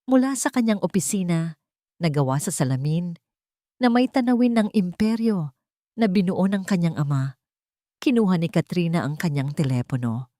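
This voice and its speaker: A woman with a fairly low voice narrates a romantic drama from a script. The recording is dry and close, with very little room sound. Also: expressive, moderate pace, pauses often, warm tone, no room sound. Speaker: story narrator.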